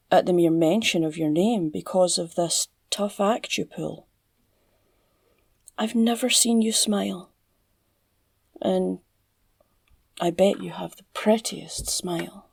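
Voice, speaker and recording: A woman with a Scottish accent playing an obsessive, flirtatious female villain in an ASMR roleplay, teasing and drawling in a breathy, gravelly voice. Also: medium pitch, darkish tone, no room sound, full character voice. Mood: flirtatious, mocking. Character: obsessive, flirtatious, psychotic female villain, captured crime boss. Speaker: audio roleplay voice actor.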